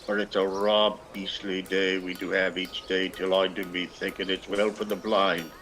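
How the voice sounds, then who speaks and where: A man's voice: low voice, little pitch movement, moderate pace, fairly bright tone. An actor playing a ragged, lazy older peasant man who grumbles and needles in a lilting, theatrical radio play style. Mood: sly grumbling.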